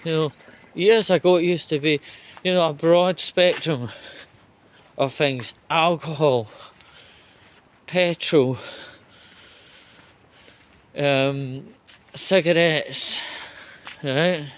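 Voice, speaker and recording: A man with a slightly high voice rants off the cuff at an unhurried, dragging pace. He is sardonic and sweary, breaking his commentary into short halting chunks. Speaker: vlogger giving political commentary. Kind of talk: off-the-cuff spoken rant.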